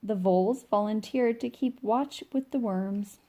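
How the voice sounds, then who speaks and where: A woman reading a children's picture book aloud as an informal, expressive storyteller who stretches key words for young listeners. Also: medium pitch, a little slow. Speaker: read-along storyteller.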